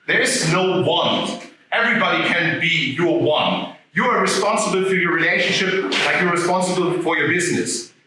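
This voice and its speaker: A man's voice: a little high, moderate pace, noisy background. Man talking to a room. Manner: animated, addresses the audience directly. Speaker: speaker giving a talk. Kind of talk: live talk to an audience. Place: room with a live audience. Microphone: no microphone, picked up from afar. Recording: echoey room, voice from a distance.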